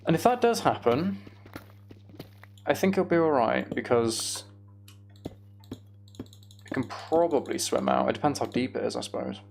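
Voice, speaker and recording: A man with plenty of vocal fry in a middling pitch, commentating casually on his own gameplay for a livestream. He mutters asides to himself and pokes fun at himself as he goes. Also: lively intonation, normal speed, long pauses, a little mellow, a little hiss or hum.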